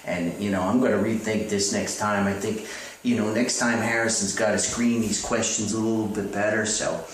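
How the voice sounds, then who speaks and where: A man's voice: fairly low pitch, fairly even intonation, moderate pace. A man rambling through comedic advice as a host, hesitant and folksy, reading out letters. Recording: echoey, distant mic with faint noise.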